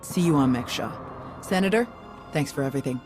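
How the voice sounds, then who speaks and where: An actor playing a practical Republic field ally in a video game, casual and businesslike. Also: male voice, medium pitch, expressive, a little fast, music in the background, lightly acted. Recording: noisy ambience behind the voice.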